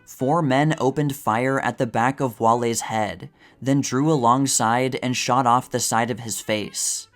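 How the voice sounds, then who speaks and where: Measured male narrator over music. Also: mid-range voice, fairly even intonation. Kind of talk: scripted narration, reading a vintage news article.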